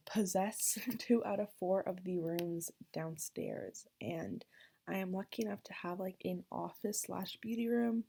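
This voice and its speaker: Gravelly, husky young Canadian woman. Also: mid-range voice, warm tone. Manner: rambling, filler-heavy, self-deprecating. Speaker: gaming vlogger. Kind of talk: casual voiceover narration. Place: her bedroom in a basement.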